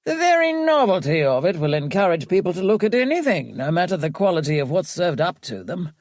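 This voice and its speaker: A woman's voice: lowish voice, big pitch swings, a little fast, darkish tone, no echo, clean studio sound. Woman acting pompous older radio boss. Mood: pompous, indignant. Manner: pontificating, pompous. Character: pompous, pipe-smoking older male radio drama boss. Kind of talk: audiobook character voice.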